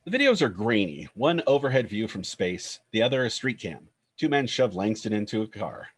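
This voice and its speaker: A man reading screenplay stage directions as narrator in a scripted style, with a husky voice. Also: on the low side, big pitch swings, normal speed.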